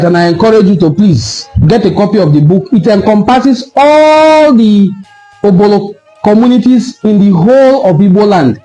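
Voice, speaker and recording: A man's voice: high voice, warm tone, poor audio. A man with a Nigerian accent giving an impassioned, argumentative online talk full of rhetorical questions. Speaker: cultural commentator.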